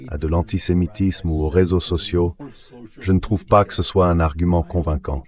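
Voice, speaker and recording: A man's voice: low voice, a little mellow. A man's synthetic voice reading a translated voice-over as a guest analyst discussing politics.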